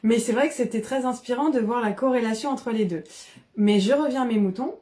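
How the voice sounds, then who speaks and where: A woman from the Paris region, explaining ideas in casual, animated conversation with frequent restarts. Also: fairly high pitch, a little fast. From Paris region, France. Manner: animated, many restarts and fillers.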